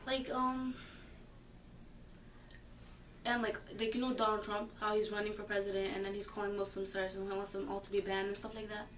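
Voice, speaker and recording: A fifteen-year-old girl answers questions in a casual, halting way, full of fillers, and turns firm when she makes her point. Her pitch stays flat and unvaried. She sits well away from the microphone in a roomy, reverberant space with a faint hum of ambience. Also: recorded from a distance. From born in the United States. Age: teens. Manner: hesitant, many fillers, grows emphatic. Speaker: high school student interviewee.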